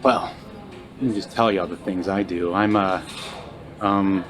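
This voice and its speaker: A man's voice: sits low, fairly even intonation, a little slow. A man playing a suspicious, sarcastic insomniac musician in a naturalistic audio drama, blustering and then hesitant. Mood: evasive, casual.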